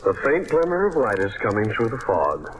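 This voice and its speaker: An actor playing a hard-boiled, wry private detective in a vintage radio crime drama, on a noisy old broadcast recording. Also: male voice, medium pitch, sing-song, fairly quick, lightly acted. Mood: sardonic banter. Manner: wisecracking, tough-talking.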